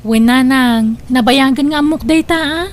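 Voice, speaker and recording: A woman's voice: sits high, moderate pace, lightly acted. A woman with a Filipino accent playing a breezy, defensive young graduate in a comedy drama, flippant and protesting. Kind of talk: amateur comedy drama acting.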